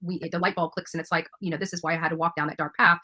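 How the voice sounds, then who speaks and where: A woman's voice: on the low side, little pitch movement, very fast, pushed and bright. A woman hosting an online interview in a chatty, emphatic and opinionated way. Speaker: interviewer and online show host. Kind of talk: chatty interview, reading out text messages.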